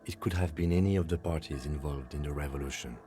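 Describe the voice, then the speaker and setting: An actor playing a reserved police lieutenant in a video game, reasoning calmly and methodically. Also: male voice, a bass voice, fast talker, faint music behind.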